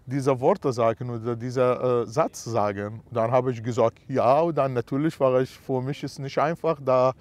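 A man's voice: medium pitch, normal speed, hardly any pauses, a little mellow, dry recording. A man whose first language is not German, answering interview questions hesitantly with simple phrasing and fillers. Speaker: film extra.

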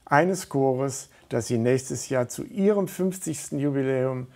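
A man's voice: medium pitch, measured pace, mellow. A middle-aged man speaking as a guest in a studio interview, earnest and hesitant, with many fillers. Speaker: studio guest, volunteer organizer.

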